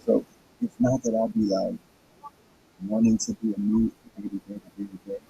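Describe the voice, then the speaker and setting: Male reader-host, hoarse and raspy, halting delivery, gravelly fry, lively pitch. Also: dull audio, a little hiss or hum. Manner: halting, stumbles over words, frequent restarts. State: hoarse, throat hurting. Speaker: host reading a book aloud. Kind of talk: casual reading with commentary.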